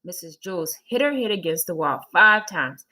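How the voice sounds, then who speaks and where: A woman's voice: lowish voice, expressive, normal speed, bright, forceful tone. A woman narrating a children's story as a scripted read-aloud.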